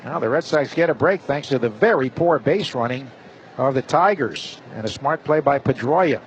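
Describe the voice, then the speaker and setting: A man's voice: medium pitch, expressive, normal speed. A man working as a play-by-play announcer, giving live baseball commentary in the style of a radio broadcast. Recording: slightly dull broadcast, faint stadium noise.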